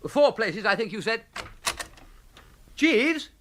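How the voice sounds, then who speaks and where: An actor playing a breezy, idle upper-class English young gentleman in a comic period radio drama, plummy and exclamatory. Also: high-pitched, sing-song, takes it slowly, a little hiss or hum, exaggerated voice.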